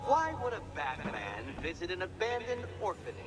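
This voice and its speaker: An actor playing a gloating, egotistical genius villain who talks in a pompous, taunting, theatrical way. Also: male voice, high voice, wildly swooping pitch, moderate pace, noisy background.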